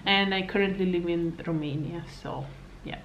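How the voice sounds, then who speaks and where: A woman's voice: on the low side, fairly even intonation, normal speed, highs cut off, slight background noise. A woman in her thirties from Slovakia, a native Hungarian speaker with a Hungarian accent, chatting casually to camera at home as a fitness coach, rambling with fillers and little laughs. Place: home living room, indoors. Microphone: camera on table at arm's length.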